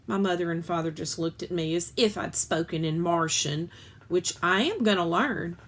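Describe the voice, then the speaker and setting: Woman giving an expressive children's read-aloud. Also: fairly low pitch, moderate pace. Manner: expressive, acts out dialogue, occasional stumbles and self-corrections. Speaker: storyteller reading a children's book aloud.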